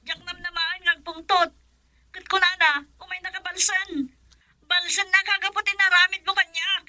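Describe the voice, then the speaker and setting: A woman plays a young wife in a radio melodrama, her voice very high and bright. She pleads tearfully and fearfully, recorded close and dry. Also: little pitch movement, normal speed, no room sound, heavily acted. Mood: anxious pleading. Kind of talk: melodramatic radio drama acting.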